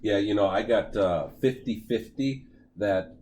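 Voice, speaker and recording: Man, middle-aged, deep low voice, casual podcast co-host, rambling, halting, lots of fillers, faint background hum. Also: darkish tone. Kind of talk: casual chat.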